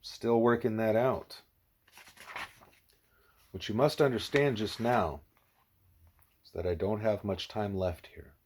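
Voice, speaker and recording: A man voicing a grave, patient, wise animal teacher in an audiobook, speaking in a measured, formal, didactic way. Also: lowish voice, slight background noise.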